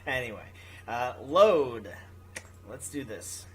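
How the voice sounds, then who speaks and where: A gaming video host who reads a visual novel aloud with casual, goofy, excitable asides. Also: mid-range voice, slow. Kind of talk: casual playthrough commentary and read-aloud.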